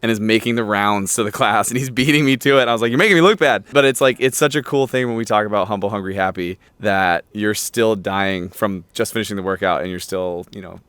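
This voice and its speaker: Upbeat coach with a smooth low voice. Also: male voice, fast, hardly any pauses, a bit bright, dry recording. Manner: upbeat, chatty, lots of "like". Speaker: gym coach and interviewer. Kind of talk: casual interview.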